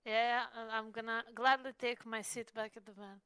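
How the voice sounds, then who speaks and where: A woman's voice: a little high, steady tone, normal speed, a bit bright, smooth, no echo. A woman chatting casually as a player in a tabletop roleplaying game. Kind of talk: casual in-character and table chat.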